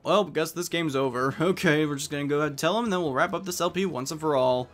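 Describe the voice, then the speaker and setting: A man's voice: fairly high pitch, fast, fairly bright tone. A man giving casual, jokey, rambling commentary as host of a game playthrough. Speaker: let's play host.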